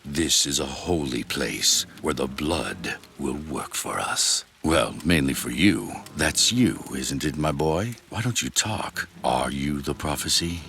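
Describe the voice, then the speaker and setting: An actor playing a sinister old cult patriarch in a video game cutscene, ominous and deliberate, with a gravelly voice. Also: male voice, animated, a bit bright, exaggerated voice. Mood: coaxing, sinister. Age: older. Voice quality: very deep, gravelly. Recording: music under the voice.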